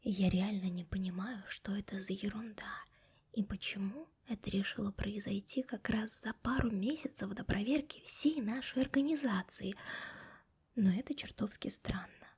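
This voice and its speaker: A young woman voicing a stern, sharp-tongued female office boss in an ASMR roleplay, whispering softly close to the mic. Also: mid-range voice, moderate pace, husky. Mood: hushed, secretive. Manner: scolding and sarcastic to staff.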